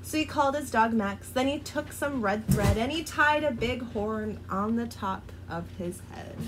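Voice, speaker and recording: A woman reading a children's rhyming book aloud, in an expressive, sing-song delivery. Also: on the high side, background noise. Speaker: storyteller.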